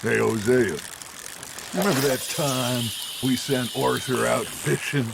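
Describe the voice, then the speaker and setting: Jovial middle-aged American gang leader, video game. Theatrical and teasing, slow gravelly delivery. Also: male voice, medium pitch, fairly even intonation. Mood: amused teasing. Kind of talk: video game cutscene acting. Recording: outdoor water and boat noise. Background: water and boat sounds.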